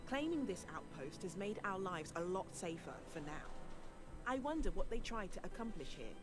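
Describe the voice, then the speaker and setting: A woman's voice: medium pitch, big pitch swings, moderate pace, natural voice. A woman voicing an earnest young settlement leader in a game cutscene, speaking sincerely and with concern. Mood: thoughtful. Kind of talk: scripted game cutscene dialogue. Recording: game audio under music.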